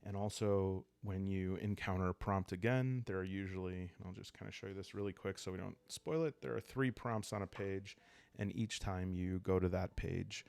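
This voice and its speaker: Deep, gravelly man talking calmly. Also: no echo. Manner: calm, unhurried, halting and reflective. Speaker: host of a solo role-playing game channel. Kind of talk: calm ASMR explainer of a tabletop game.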